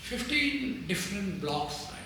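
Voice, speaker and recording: A man's voice: high-pitched, sing-song, creaky, pushed and bright. Older Indian man, echoey distant mic. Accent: Indian English. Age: 80s. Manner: halting, with frequent restarts and pauses. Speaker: interviewee, translator. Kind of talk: recorded interview, reminiscing. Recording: echoey room, far from mic, noisy.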